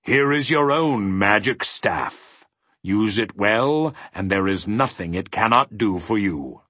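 Man performing a stubborn storybook mathematician, bombastic, declamatory, very animated pitch, dry close sound. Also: slow, husky, dry recording, full character voice. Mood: brisk, instructive. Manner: emphatic, declamatory, swinging from bellows to ominous hush. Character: bombastic, stubborn mathematician ruler, thundering and ominous.

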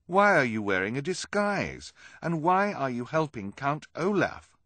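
Male reader doing an earnest, pointed boy, raised pitch, storybook. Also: big pitch swings, a little slow, bright, forceful tone, no room sound, full character voice. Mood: confronting. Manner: pointed, questioning. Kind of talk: audiobook character voice.

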